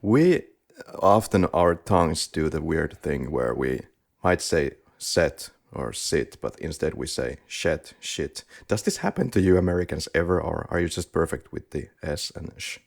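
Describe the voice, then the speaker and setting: Deep gravelly Finnish-accented man. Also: animated, unhurried. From Finland. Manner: playful, teasing questions. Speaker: podcast co-host. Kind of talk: casual chat.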